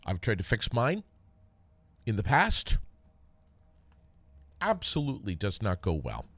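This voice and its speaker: A very deep-voiced American man hosts a casual solo podcast. He talks slowly, pausing often as he gathers his words. The sound is dry and close on the microphone. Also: huge pitch swings, a bit bright, no room sound. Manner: halting, conversational delivery with frequent pauses. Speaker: podcast host, former radio DJ. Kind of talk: casual solo podcast talk with read sponsor plug.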